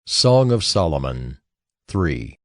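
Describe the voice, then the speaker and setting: A man's voice: extremely low pitch, very animated, takes it slowly, creaky, a bit bright, no echo, clean studio sound. A man announcing chapter titles in formal, scripted narration.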